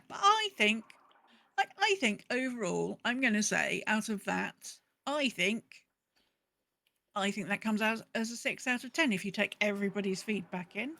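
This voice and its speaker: A woman's voice: medium pitch, creaky, sharp tone. A woman hosting a podcast in casual chat, with dry, understated humour. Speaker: podcast host.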